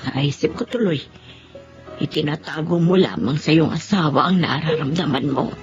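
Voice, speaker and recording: Lowish older female voice, Filipino accent, worried mother, very animated, coaxing, radio drama. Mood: concerned, coaxing. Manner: slow, coaxing, expressive. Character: concerned older mother, gently probing. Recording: dull radio-drama sound with faint music.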